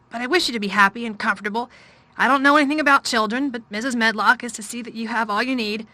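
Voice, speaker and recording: A woman voicing a troubled, fretful middle-aged gentleman in a storybook reading; loud, weary and distracted. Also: a little high, dry recording.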